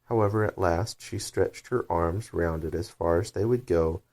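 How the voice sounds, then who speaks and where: A man's voice: sits low, moderate pace, a little mellow. A man reading a scripted children's bedtime story as its storyteller.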